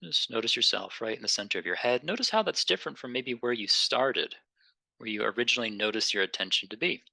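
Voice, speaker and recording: Guy doing guided meditation, super bright crisp voice w some fry, slow n gentle, really dry close sound. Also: mid-range voice, lively intonation, dry recording. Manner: gentle, unhurried, pausing between phrases.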